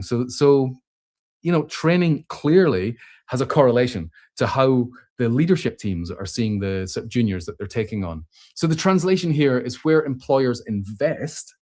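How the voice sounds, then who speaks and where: A man's voice: mid-range voice, a little mellow. A man hosting a casual question-and-answer show, thinking aloud as he responds to comments, with frequent restarts and filler sounds. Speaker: host.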